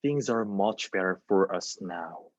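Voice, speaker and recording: A man with a Korean accent playing an older, reassuring version of himself in a measured, earnest monologue with halting English. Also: low-pitched, animated, dry recording, pitched below the performer's own voice. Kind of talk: dramatic monologue as a video reply. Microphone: wireless earbuds on a video call. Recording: dull, lo-fi video-call audio.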